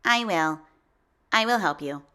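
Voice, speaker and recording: A woman voicing a skeptical, blunt animal friend in a children's picture book, with a flat, firm manner. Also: fairly low pitch, unhurried, smooth, voice pushed down. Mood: deadpan.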